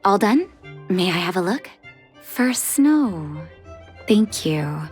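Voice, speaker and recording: A woman voicing a gentle, soft-hearted doctor and dutiful daughter in a dramatic video game, speaking tenderly and earnestly. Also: on the low side, very animated, slow pace, soft background music. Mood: wistful, gentle.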